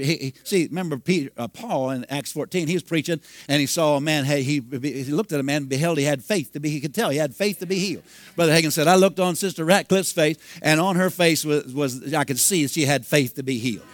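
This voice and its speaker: A man in his fifties preaching a sermon, telling a healing story and breaking into loud hallelujahs. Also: a little high, fast talker, dry recording. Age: 50s. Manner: storytelling, bursts into shouting and hallelujahs. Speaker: pastor.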